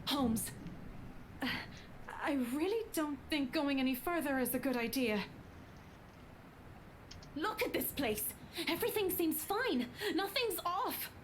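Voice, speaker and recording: A woman's voice: sits high, lively intonation, a little slow, long pauses, slight background noise. A woman acting a frightened, stubborn young interior designer in a visual novel game, hesitant and exasperated. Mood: nervous.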